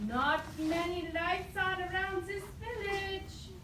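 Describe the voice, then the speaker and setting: A woman voicing a cheerful, reassuring small animal traveler with an eager, excitable manner in a live puppet show. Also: extremely high pitch, heavily acted. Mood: puzzled. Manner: excitable, eager, drawn-out delivery. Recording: distant roomy live recording, faint noise.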